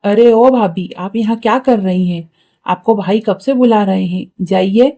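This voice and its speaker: A woman's voice: mid-range voice, measured pace, mellow. A woman voicing a spiteful, scheming young crow sister-in-law in a cartoon story, sly and mocking with many exclamations. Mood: sly, scornful. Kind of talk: Hindi cartoon story acting.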